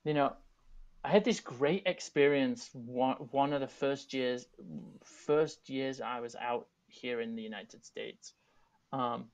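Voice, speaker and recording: A man in his thirties from England with a softened Yorkshire accent, a teaching artist and artistic director talking casually and thoughtfully on a video call panel, with frequent restarts and asides. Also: medium pitch, slow. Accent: Yorkshire English, softened for Americans. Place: home room. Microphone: video call, earphones. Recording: dull lo-fi video call audio.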